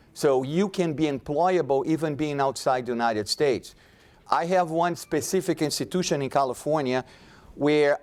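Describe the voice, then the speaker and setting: A man speaking at an academic conference panel, conversational and full of restarts. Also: fairly high pitch. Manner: conversational, many restarts and fillers. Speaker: conference presenter.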